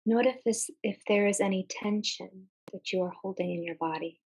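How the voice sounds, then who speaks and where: A woman leading a guided meditation in a gentle, soothing manner, speaking in short phrases with long pauses. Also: mid-range voice, breathy edge, rough recording.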